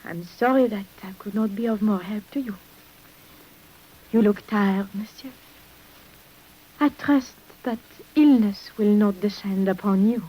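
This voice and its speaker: An actress playing a weary, secretive French nurse in an old radio drama, speaking formally and hesitantly with breathy sighs. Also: female voice, medium pitch, unhurried, long pauses, mellow, natural voice, poor audio. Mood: smoothly polite. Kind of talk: 1950s radio drama acting. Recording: hissy old radio recording.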